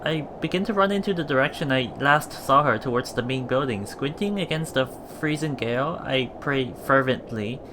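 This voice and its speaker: A man reading a visual novel aloud for a Let's Play, expressive and dramatic in first-person narration. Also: medium pitch, normal speed, few pauses, noisy background. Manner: expressive, dramatic, with murmured reactions.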